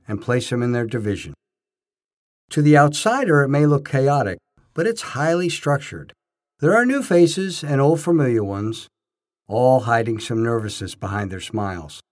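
A man reading scripted first-person narration, telling his own experiences as a narrator. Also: medium pitch. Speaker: narrator, bodybuilding judge.

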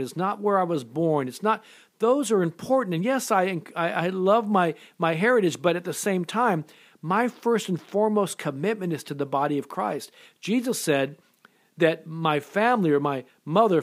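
A middle-aged man preaching an earnest sermon, often restarting and repeating words as he goes. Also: sits high. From born in Japan, raised in America. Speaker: pastor.

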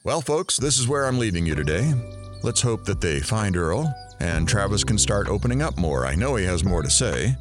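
A man's voice: lowish voice, very animated, normal speed, over music. An actor playing a reflective male narrator-host who muses aloud and addresses listeners in an audio drama. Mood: musing. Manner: musing, addresses listeners directly.

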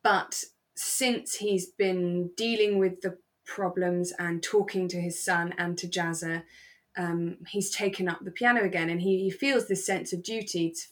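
A British woman with a slightly low voice chats as a podcast co-host. She talks effusively and sentimentally, in a relaxed, informal style. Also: unhurried. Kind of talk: relaxed fan chat about a radio drama.

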